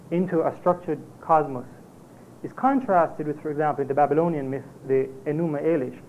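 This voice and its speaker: A man with a Jamaican accent presenting an academic paper at a conference, in a measured lecturing manner with occasional hesitations. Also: on the high side. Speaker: conference speaker. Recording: tinny phone-like lo-fi recording with faint hiss.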